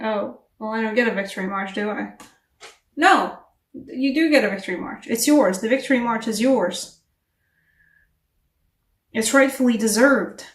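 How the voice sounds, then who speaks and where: A woman giving a casual tarot reading to camera, chatty and animated, English not her first language, laughing at herself between rambling asides. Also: a little high, distant mic. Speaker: tarot reader. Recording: echoey room, voice a bit off-mic.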